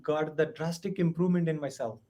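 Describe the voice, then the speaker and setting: A man from India speaking with a mild Indian accent, his voice sitting a little high. He talks earnestly and carefully, pausing often on "uh" to find his words. He is heard over a video call. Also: warm tone. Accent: Indian English. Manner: earnest, careful, with many "uh" pauses. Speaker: student, software entrepreneur. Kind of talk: English conversation practice.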